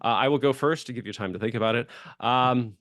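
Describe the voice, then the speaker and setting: A man's voice: medium pitch, fast talker, fairly bright tone, dry recording, studio quality. A man hosting a podcast in a casual, chatty, enthusiastic conversational interviewing style. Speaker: podcast host.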